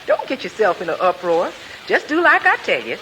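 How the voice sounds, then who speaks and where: A motherly, folksy cook in vintage radio comedy. Her bright voice swings widely in pitch as she reassures in homely phrases. There is faint hiss on the old recording. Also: huge pitch swings, brisk, rough recording. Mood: soothing. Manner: colloquial, reassuring. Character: motherly, folksy woman household cook, soothing. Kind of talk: 1940s radio comedy acting.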